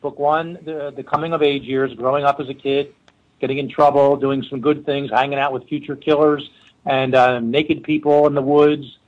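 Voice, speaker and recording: A man's voice: mid-range voice, flat delivery, moderate pace, lo-fi sound. Man, Philadelphia accent, middle-aged, rambling, full of fillers, interview guest. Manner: rambling, many fillers and restarts. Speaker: forensic linguist guest. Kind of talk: casual interview storytelling.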